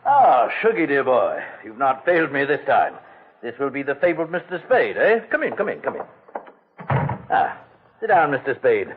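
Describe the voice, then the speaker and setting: An actor playing a fat, scheming older gentleman villain, pompous and unctuous, in a 1940s radio drama on a tinny old broadcast. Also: mid-range voice, big pitch swings, moderate pace, a little hiss or hum, lightly acted.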